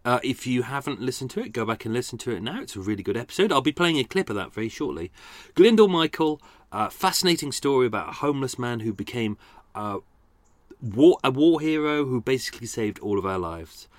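A man's voice: medium pitch, sing-song, brisk, dry recording. A man hosting a podcast, chatting casually and laughing at himself. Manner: self-deprecating, chatty, laughing often. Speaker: podcast host. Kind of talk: casual chat.

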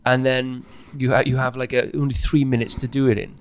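A man's voice: mid-range voice, little pitch movement, brisk, vocal fry. Man talking candidly. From Wales. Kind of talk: candid, personal conversation.

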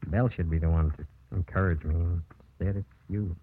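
An actor playing an earnest married small-town lawyer in love, halting as he speaks, in old-time radio drama style. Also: low voice, fairly even intonation, slightly rough. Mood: troubled, hesitant. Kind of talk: 1940s-50s radio drama acting. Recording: muffled old radio broadcast.

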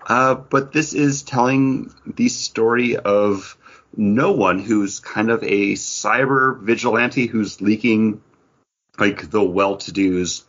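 A man's voice: deep voice, a bit bright. A man co-hosting a podcast, chatting casually about comics, rambling with lots of fillers and restarts. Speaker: podcast co-host. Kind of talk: casual comic review chat. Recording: dull lo-fi podcast audio.

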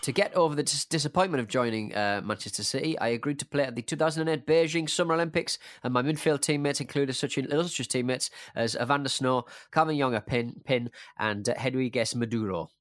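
A man's voice: medium pitch, fast talker, hardly any pauses, fairly bright tone, no echo, clean studio sound. A man hosting a casual football podcast, playfully reading out quiz clues in a jokey manner. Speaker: podcast host and quizmaster.

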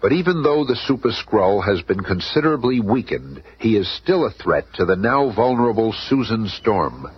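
An actor as the urgent narrator of an old-time radio serial, delivering dramatic scripted lines. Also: male voice, lowish voice, sing-song, moderate pace, few pauses. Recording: dull, very noisy old radio recording.

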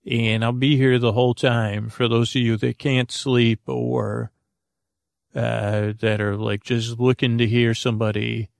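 A man with a heavily creaky, gravelly voice talks as the host of a bedtime podcast. He rambles and halts, goofily drifting off on tangents as he improvises. The sound is dry and close, at a middling male pitch. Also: little pitch movement, no room sound. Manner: meandering, halting, goofy, trails into tangents.

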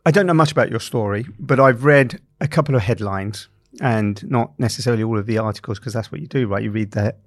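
A man's voice: medium pitch, fast, dry recording. Hesitant man hosting an interview, dry sound. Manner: thoughtful questioner, hesitant with repeated words. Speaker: interview host. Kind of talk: conversational interview.

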